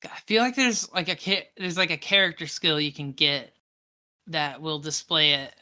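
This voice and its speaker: Bright-voiced, casual podcast co-host. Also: medium pitch, fairly even intonation, moderate pace. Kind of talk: casual chat about video games.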